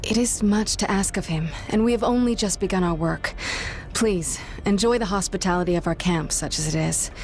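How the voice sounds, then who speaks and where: An actress playing an earnest chief's daughter of a frontier tribe in a video game, composed and stiffly formal. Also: female voice, mid-range voice, normal speed, darkish tone, lightly acted. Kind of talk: video game character dialogue.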